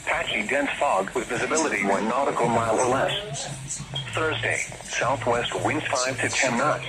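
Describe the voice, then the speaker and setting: A man's synthetic-sounding voice reading an automated weather forecast in a formal, measured manner over a radio transmission. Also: mid-range voice, animated. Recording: very noisy weather radio broadcast.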